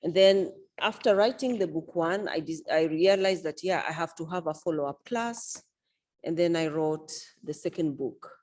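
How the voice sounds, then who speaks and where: Low, mellow-voiced woman author. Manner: measured, unhurried, with frequent fillers. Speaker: author and event organizer. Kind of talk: speaking at a book launch.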